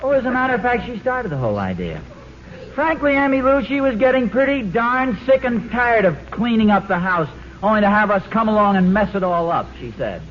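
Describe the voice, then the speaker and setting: A man's voice: high-pitched, very animated, fairly quick. An actor playing a mild, bumbling suburban father in an old radio sitcom, hesitant and rambling, mildly exasperated. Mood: mild bemusement. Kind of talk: 1940s-50s radio sitcom acting. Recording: dull, hissy old radio recording.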